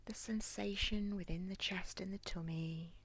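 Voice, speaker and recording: A woman leading a guided body scan meditation in a soft, near-whispered, breathy voice with a calm, gentle, soothing manner. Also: medium pitch, normal speed, a little hiss or hum. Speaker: guided relaxation instructor.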